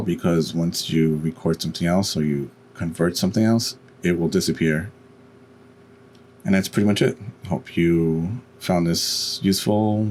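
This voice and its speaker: A man hosting a step-by-step software tutorial with plain, unhurried instruction. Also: low voice, slight background noise. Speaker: tutorial host. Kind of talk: step-by-step software screencast narration.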